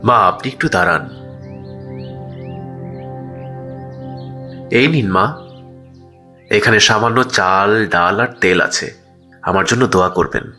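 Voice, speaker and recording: A man's voice: low voice, normal speed, gravelly, bright, forceful tone, soft background music, full character voice. A man playing an honest, kindly, pious shopkeeper in a cartoon fable, speaking politely and earnestly in a reassuring way. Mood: compassionate. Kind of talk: cartoon voice acting.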